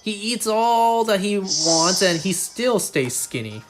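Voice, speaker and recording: A slightly high-voiced man chats loosely on a live game stream. He rambles from one aside to the next and jokes at his own expense, with soft music playing underneath. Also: very animated, slow pace. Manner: rambling, self-deprecating, joking. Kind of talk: casual live-stream chat.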